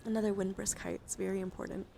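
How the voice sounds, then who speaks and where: A woman hosting an ASMR livestream, speaking softly and casually at a close microphone in an unhurried show-and-tell style with murmured, whispery asides. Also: mid-range voice, little pitch movement, gravelly, a little hiss or hum. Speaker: livestream host. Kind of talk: soft-spoken ASMR card show-and-tell.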